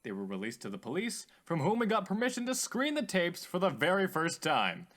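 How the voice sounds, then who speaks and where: A man's voice: sits high, huge pitch swings, brisk, fairly bright tone, full character voice. Theatrical radio host, played by a man, swooping delivery. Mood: showy, intrigued. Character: polished, eager male radio host presenting mysterious tapes. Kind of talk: scripted radio show presenting.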